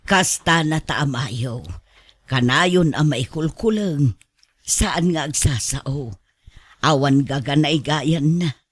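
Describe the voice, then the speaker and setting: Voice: medium pitch, slow pace, husky, dry recording. An actor with an Ilocano accent playing an exasperated but caring spouse in a radio drama, scolding and coaxing. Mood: complaining.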